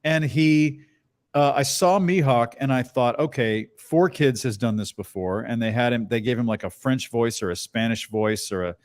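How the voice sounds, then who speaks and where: A middle-aged man with a bass-baritone voice, a voice actor chatting as a guest in an interview and telling stories with lively bits of character voices. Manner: animated storyteller, tosses in quick character voices. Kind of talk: casual interview chat.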